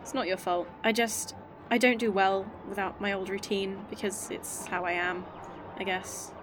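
A woman's voice: medium pitch, fairly even intonation, normal speed, noisy background, natural voice. A woman playing an earnest, candid young scientist. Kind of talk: audio drama acting.

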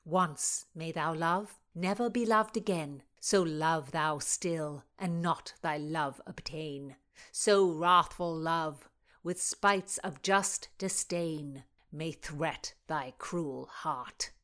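Woman reading a proud young earl, teasing and grand, lower voice, storybook reading. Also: takes it slowly, fairly bright tone. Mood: passionate. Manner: declaiming verse with force.

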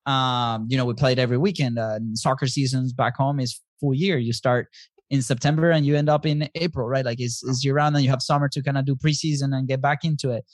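A relaxed man in his thirties, originally from Venezuela, talking easily as a podcast guest. He laughs often and leans on little fillers. The sound is close and dry, with no room around it. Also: medium pitch, brisk, no echo. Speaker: guest, business co-founder. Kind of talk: casual interview conversation.

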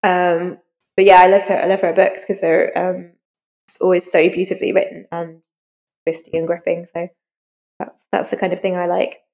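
A woman's voice: on the low side, level tone, normal speed, vocal fry, a little mellow. A woman giving informal interview answers as a guest author, thinking aloud as she talks. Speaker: crime novelist, podcast guest. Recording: reverberant hall-like echo.

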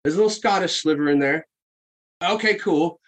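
A man's voice: on the high side, moderate pace. A man chatting casually on a podcast, telling stories with frequent laughs and swearing. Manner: storytelling, swears freely, laughs often.

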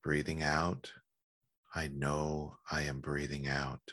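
A man leading a guided meditation in a calm, gentle, measured manner with long pauses. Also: very deep voice, dry recording.

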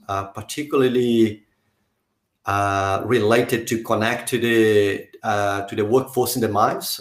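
A man talks through an interview in a halting way. He keeps restarting his sentences while he searches for the right word. His mid-pitched voice carries a roomy echo. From Brazil. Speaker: guest. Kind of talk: conversational interview.

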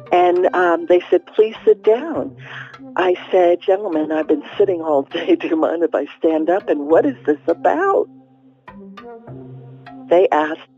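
An older woman recalling events in an interview, telling them as an animated storyteller who acts out dialogue. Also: fairly low pitch, vocal fry. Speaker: retired bus driver, interviewee. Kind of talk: first-person recollection in an interview. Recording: dull, band-limited interview audio.

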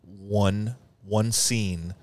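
A man's voice: fairly low pitch, steady tone, very slow, a little mellow. A man in casual conversation about a movie, swearing freely and saying "like" a lot. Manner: loose, swears freely, uses "like" often. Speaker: podcast co-host.

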